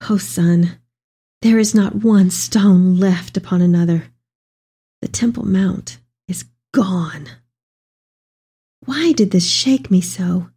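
A woman's voice: mid-range voice, slow pace, long pauses, mellow, husky, heavily acted. A woman playing an elderly, devout mother in a dramatic reading of letters to her son, with tender, heartfelt delivery. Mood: grieving, shaken. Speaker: dramatic reader. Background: music.

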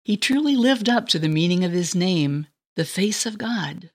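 A woman reading a scripted dramatic narration in an expressive, storybook manner. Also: on the low side, moderate pace.

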